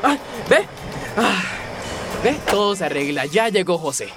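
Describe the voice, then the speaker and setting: A man's voice: sits high, big pitch swings, a little slow. Nervous, stammering young man. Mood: nervous, stalling. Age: young adult. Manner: hesitant, stammering. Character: nervous, stammering young hostel helper, earnest and defensive. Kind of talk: radio soap opera acting. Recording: music bed behind radio drama voices.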